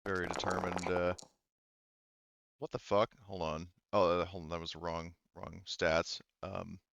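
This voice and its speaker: A man running a tabletop roleplaying game as game master, narrating off the cuff and haltingly thinking aloud. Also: low voice, flat delivery, vocal fry, fairly bright tone, no room sound.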